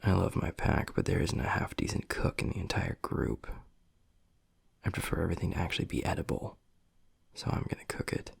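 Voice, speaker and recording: A deep, heavily creaky male werewolf alpha, acted by a man, speaks in an intimate roleplay. He is blunt and dry, with a protective, tender streak under the gruffness. The recording is close and dry. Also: fairly quick, no room sound, whispered, heavily acted. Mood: matter-of-fact. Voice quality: husky, gravelly, breathy low voice. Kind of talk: intimate boyfriend audio roleplay, close and quiet.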